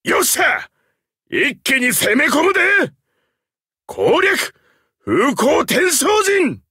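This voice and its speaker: A man voicing a genial, confident middle-aged hero commander in a mobile game, with an Osaka Kansai accent, a husky voice and an expressive delivery. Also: very high voice, takes it slowly, no echo, studio quality, full character voice. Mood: rousing, commanding. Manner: expressive, teasing, rallying. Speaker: game character voice actor. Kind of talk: Japanese mobile game character voice lines.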